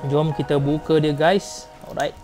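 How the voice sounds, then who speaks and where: A Malaysian man with a fairly high-set voice presents an unboxing in a casual, slangy style. He is an enthusiastic vlog host who leans on catchphrases. Light music plays behind him. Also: level tone, unhurried. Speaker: motovlog host. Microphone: clip-on lapel mic.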